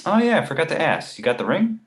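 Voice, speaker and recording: A man's voice: fairly high pitch, big pitch swings, fast. Male comedy table read: actor as exasperated, cautious editor friend, widely swinging intonation. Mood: wry.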